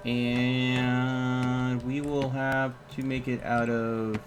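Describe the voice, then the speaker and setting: A man's voice: medium pitch, completely flat delivery, extremely slow, over music. A man giving casual live gameplay commentary as a streamer, thinking aloud in short, sometimes repeated phrases. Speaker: video game streamer.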